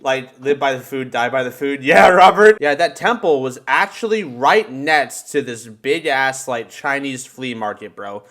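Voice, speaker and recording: A man from the United States telling casual podcast stories in an animated way, with plenty of swearing and bro slang. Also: medium pitch, unhurried, hardly any pauses. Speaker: podcast co-host. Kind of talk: casual podcast chat and storytelling.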